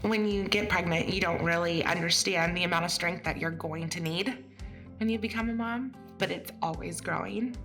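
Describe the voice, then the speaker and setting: A woman in her twenties speaks in a thoughtful, upbeat way. Music runs clearly underneath her. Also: fairly low pitch, moderate pace, fairly bright tone. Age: 20s. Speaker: interviewee. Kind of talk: reflective interview.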